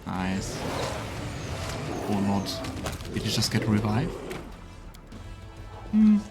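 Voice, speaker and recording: A man's voice: lowish voice, sing-song, drawn-out words, over music. A man streaming a game with casual live commentary, muttering wry asides to himself as he plays.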